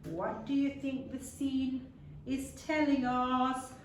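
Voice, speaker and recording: A woman storyteller narrates for children with theatrical emphasis and a high voice. She takes her time over the words, heard a little far from the mic in a very echoey room with faint noise. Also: level tone. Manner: theatrical, with emphatic drawn-out words and questions to listeners. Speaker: storyteller reading aloud to children. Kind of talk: dramatic read-aloud story narration.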